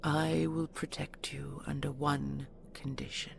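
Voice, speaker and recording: A regal dragon queen speaks in a low, heavily creaking growl. She is cold, possessive and imperious, drawing out each threat very slowly, as in a fantasy audio roleplay. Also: level tone, slight background noise, heavily acted. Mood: commanding. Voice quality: gravelly, growling. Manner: imperious, slow, deliberate, threatening.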